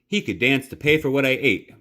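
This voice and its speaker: A man's voice: mid-range voice, expressive, fairly quick, sharp tone, pitched above the performer's own voice. A man reading a storybook and voicing a timid, polite, hard-up boy with a folksy, hesitant way of talking. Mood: pleading, hopeful.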